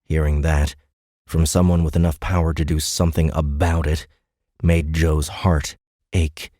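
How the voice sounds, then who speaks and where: A man reading scripted dramatic audiobook narration in a measured, suspenseful manner. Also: very deep voice, little pitch movement, warm tone, no room sound, studio quality.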